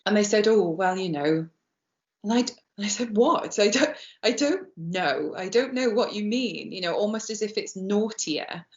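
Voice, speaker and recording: A woman's voice: mid-range voice, expressive, normal speed. A woman in her thirties talking reflectively in a personal interview. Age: 30s. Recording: muffled lo-fi, roomy echo.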